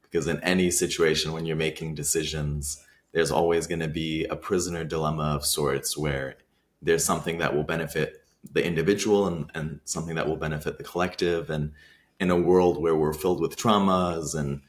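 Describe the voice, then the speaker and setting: A man from Iran, co-hosting a conversational podcast, explaining his views in a thoughtful, measured way with fillers. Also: extremely low pitch, fairly even intonation. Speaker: podcast co-host.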